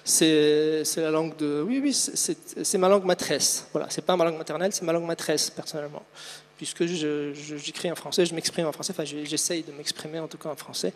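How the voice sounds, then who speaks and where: A man's voice: on the high side, steady tone, a little fast. A man from Tunisia, an author answering spontaneously on a public panel, hesitant and often repeating his words. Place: large hall at a book fair. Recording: echoing hall, long reverb.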